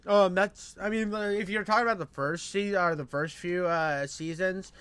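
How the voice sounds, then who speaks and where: A man's voice: sits high, normal speed, fairly bright tone, clean tone, no room sound. A man chatting off the cuff to viewers in slangy, sweary, laid-back bro talk. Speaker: commentator. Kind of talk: unscripted casual chat to viewers.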